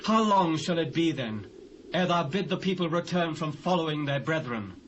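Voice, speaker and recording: A British man playing a proud, forceful biblical army commander, declaiming archaic lines with emphasis in a dramatised scripture reading. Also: a little high, animated, moderate pace, close to an everyday voice. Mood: pleading challenge. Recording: hissy old cassette transfer.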